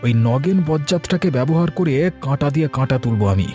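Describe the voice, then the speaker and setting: A man playing a suspicious but loyal village orchard keeper in a cartoon story, husky and earnest. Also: medium pitch, expressive, fairly quick, warm tone, soft background music, lightly acted.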